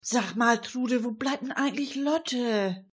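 A woman's voice: a little high, slow, slightly rough. A woman playing a sociable, playful older hobby detective in an amateur radio crime play, full of chummy exclamations.

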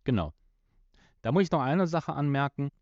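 A man's voice: a little high, lively intonation, moderate pace, a little mellow, no echo, muffled recording. A man co-hosting a podcast, casually talking through the details of an audio drama. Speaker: podcast co-host.

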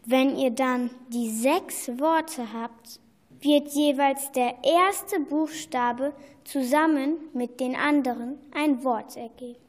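A woman playing a sorceress who sets riddles in an amateur radio play, instructing in a deliberate, patient manner. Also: high voice. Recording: echoey hall with long reverb.

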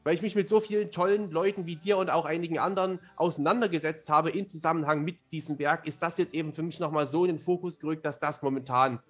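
A man's voice: on the high side, steady tone, fast, hardly any pauses, fairly bright tone, soft background music. A man, talking at length in a casual interview and explaining things as he goes. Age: 30s. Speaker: fantasy author, podcast guest.

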